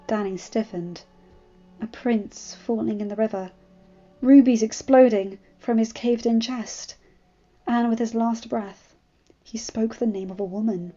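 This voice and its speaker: A woman narrates a story in a fairly high, very mellow voice. The audio is somewhat muffled, and music plays behind her reading. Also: steady tone, measured pace. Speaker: fan fiction reader.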